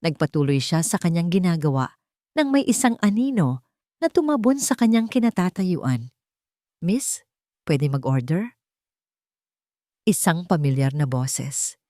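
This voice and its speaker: A woman reads a romance story aloud with slow, dramatic pacing. Her voice sits low and the recording is dry and close, with no room around it. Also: very animated, dark tone, dry recording. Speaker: narrator. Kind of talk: scripted narration of a romance story.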